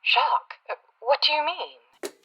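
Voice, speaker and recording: An actress playing an anxious, shaken widow over a telephone filter in a 1950s-style radio drama. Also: female voice, high voice, big pitch swings, slow pace, bright, forceful tone, exaggerated voice. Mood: shocked. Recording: muffled, thin telephone line.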